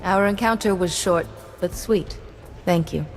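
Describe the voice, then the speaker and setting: An actress playing a composed, supportive Jedi diplomat in a video game, speaking politely and with measured delivery. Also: female voice, lowish voice, in a large hall. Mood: gentle, concerned. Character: calm, courteous, supportive female Jedi diplomat. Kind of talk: scripted video game acting. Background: music.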